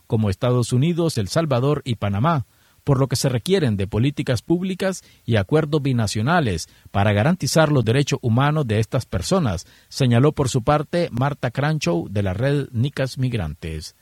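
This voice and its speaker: A male radio reporter delivers a scripted news report. His voice sits at an average pitch. The sound is dry, with very little room in it. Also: animated, moderate pace, darkish tone, slightly rough, no echo.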